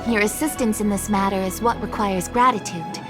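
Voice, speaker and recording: A woman's voice: mid-range voice, over music, close to an everyday voice. A woman playing a polite, dutiful official in a video game, speaking in a formal, careful, helpful way. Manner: formal, measured, courteous.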